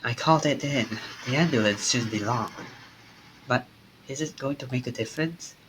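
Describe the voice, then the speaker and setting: A man's voice: medium pitch, moderate pace, noisy background, natural voice. A man voicing a frightened young man whose friend has collapsed, reading a visual novel aloud and stammering in panic, with game music behind. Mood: grieving, resolved. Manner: stammering, panicky, then quietly resolved.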